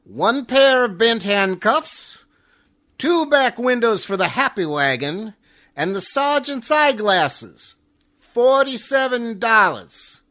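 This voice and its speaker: A man plays a wry old-radio jailer who takes his time. The voice is very high, sharp and bright. His pitch swings widely as he drawls out his official business. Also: very animated, slow, full character voice. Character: unhurried, wry jailer, a policeman. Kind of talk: 1940s radio comedy acting.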